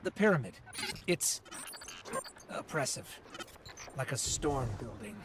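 An actor playing a small, earnest, anxious robotic companion, speaking expressively and thoughtfully in a game. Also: male voice, mid-range voice, very animated, takes it slowly, heavy background noise. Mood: uneasy, pensive. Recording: loud music and game sound behind.

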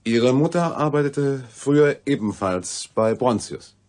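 A man's voice: mid-range voice, expressive, unhurried, a little mellow, lightly acted. An actor playing a persistent, wry male newspaper reporter in a radio crime drama, asking questions in a dry, inquisitive manner. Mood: probing.

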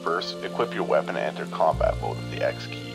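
A very deep, gravelly combat instructor gives stolid, monotone tutorial guidance in a video game. Music and rain play clearly behind the voice. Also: male voice, quick pace, full character voice. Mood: stolid, instructional. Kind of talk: scripted tutorial narration.

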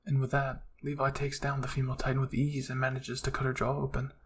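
A man's voice: mid-range voice, completely flat delivery, fast talker, a little hiss or hum. A man reading scripted fan fiction aloud as a storyteller. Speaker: storyteller, channel host.

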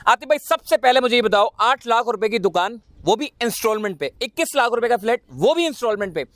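A man in his thirties from Pakistan hosting a property show, giving a brisk promotional pitch outdoors at a construction site with a handheld mic and pressing the builder with questions. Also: lively intonation, a bit bright, no echo. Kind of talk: on-location property interview.

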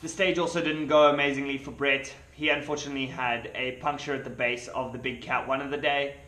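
Young guy talking race recap into a camera, bright voice, echoey little hotel room. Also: mid-range voice, fairly quick, slight background noise. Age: young adult. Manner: relaxed, matter-of-fact, with small restarts. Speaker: pro cyclist vlogger.

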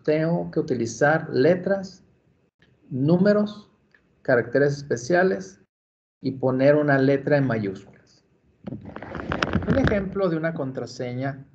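Male instructor from Mexico, mid-pitched, slow and patient, dull screencast audio. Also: a little mellow. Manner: slow, patient, step-by-step explaining. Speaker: library computer instructor. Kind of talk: screen-recorded tutorial.

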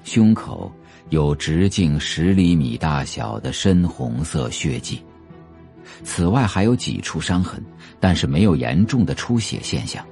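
Male narrator for audio fiction: expressive range, measured and atmospheric, soft music underneath. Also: low voice, vocal fry. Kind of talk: scripted audio drama narration of a novel.

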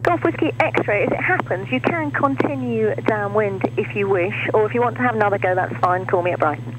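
An air traffic controller giving rapid radio clearances and instructions to aircraft. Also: mid-range voice. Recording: muffled radio line with noise.

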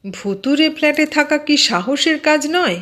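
A woman voicing a nosy, excitable man in an audio story, eagerly questioning and exclaiming. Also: high voice, normal speed, voice pushed up. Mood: astonished, protesting.

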